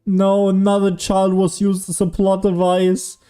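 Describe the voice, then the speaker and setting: A young man with a soft, dark-toned and fairly high voice streams a game. He muses and argues in a casual way, with faint game music behind him. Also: monotone, unhurried. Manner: musing, argumentative, swears casually. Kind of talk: casual gameplay commentary.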